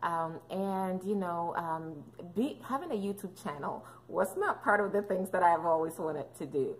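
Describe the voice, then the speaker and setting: A woman's voice: on the low side, moderate pace, a little hiss or hum. Woman, thirties, playful, rambling, lots of ums, casual vlog talk. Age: 30s. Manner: playful, joking, rambling with many fillers. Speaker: fitness and mom vlogger.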